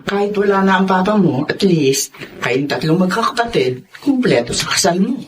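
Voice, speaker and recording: Lively, expressive middle-aged mother played by a woman. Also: on the low side, moderate pace, recorded from a distance, slight background noise, close to an everyday voice. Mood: pleased, curious. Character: lively middle-aged widowed mother, fond but scolding. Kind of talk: radio drama acting.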